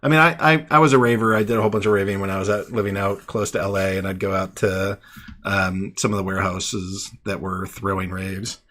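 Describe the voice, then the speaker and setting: A man in his thirties hosting a live online call-in show, relaxed and chatty, with many fillers and restarts. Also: low voice, fairly quick. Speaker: host. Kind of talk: casual live call-in chat.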